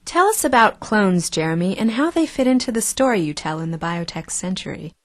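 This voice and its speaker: A woman's voice: medium pitch, very animated, fairly quick, no echo, studio quality, lightly acted. An actress playing a chatty, self-assured female talk show co-host in an audio drama. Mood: polite, hosting.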